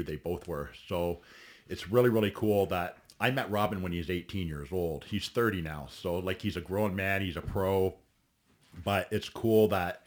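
A man in his forties, a bodybuilder guest telling his story bluntly in a podcast interview, swearing freely and often restarting. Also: low-pitched. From Ontario, Canada.

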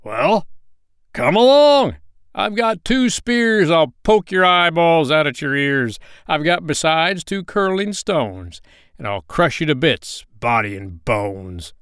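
A man voicing a big, heavy, belligerent billy goat in a storybook, with an ugly hoarse voice. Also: fairly high pitch, sing-song, slow, a bit bright, dry recording, clean studio sound, voice pushed up, full character voice. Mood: defiant, threatening. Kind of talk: storybook character voice.

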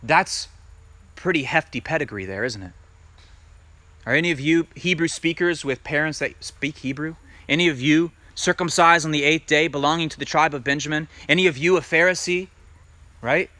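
A man preaching a sermon and reading scripture aloud. He talks emphatically, puts direct questions to his listeners, and builds to loud peaks. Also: a little high, moderate pace, no echo. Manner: asks the congregation direct questions. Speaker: messianic congregation teacher.

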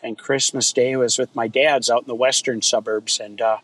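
A man from Minnesota, a guest in a podcast interview, talking in a relaxed, rambling way with many fillers. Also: mid-range voice, normal speed, low-quality recording. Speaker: guest, wildfire fighter. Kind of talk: casual interview chat.

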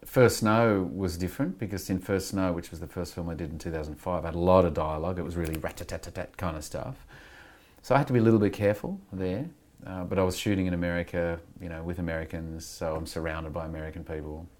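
Low-voiced Australian man, candid and rambling. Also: fairly even intonation, darkish tone. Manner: candid and rambling, frequent "you know" and restarts, quick laughs. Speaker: actor being interviewed. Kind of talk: reflective conversational interview.

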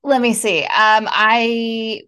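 A woman in her thirties from Montreal, a podcast guest telling her story in an animated way with frequent laughs. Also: on the high side. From Montreal, Canada. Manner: animated, laughs often, quick asides. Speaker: podcast guest, wellness coach. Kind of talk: casual interview storytelling.

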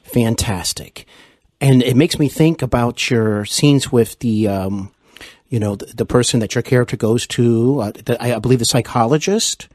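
A man interviews a guest in a casual, complimentary manner. His mid-pitched voice is somewhat husky, and he phrases things haltingly. The sound is dry, with little room echo. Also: a little mellow. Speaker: podcast interviewer, host.

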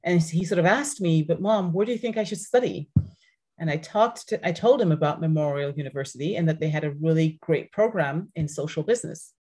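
A low-voiced woman who grew up speaking French answers questions as a podcast guest. She talks earnestly and steadily, now and then restarting a phrase. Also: a little fast, mellow, husky. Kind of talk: casual interview conversation.

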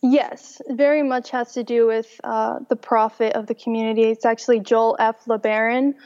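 A young woman from Mexico tells her story with cheerful ease and a slightly higher voice. The audio is muffled and lo-fi, with a long, lingering reverb behind her. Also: flat delivery, normal speed, darkish tone. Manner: cheerful, laughs often. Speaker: interview guest. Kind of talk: personal testimony in conversation.

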